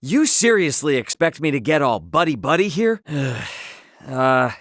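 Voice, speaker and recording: Easygoing game hero, lively pitch. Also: male voice, measured pace, no room sound, studio quality. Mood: wry, casual. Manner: casual, colloquial, wry. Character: easygoing, cocky, loyal young male adventurer hero. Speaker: video game voice actor. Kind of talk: acted video game dialogue.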